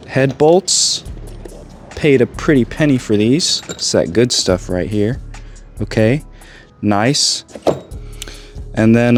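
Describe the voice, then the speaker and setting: Young male car vlogger, slightly sick and hoarse, loose joking delivery, handheld camera. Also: fairly low pitch. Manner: loose and joking, addresses viewers as guys. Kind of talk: casual vlog chat. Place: home bedroom, then cold outdoor garage.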